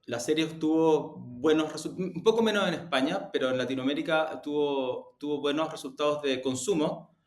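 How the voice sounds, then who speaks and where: A man giving measured, reflective answers as an interviewed executive. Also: fairly high pitch. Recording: roomy, echoing room.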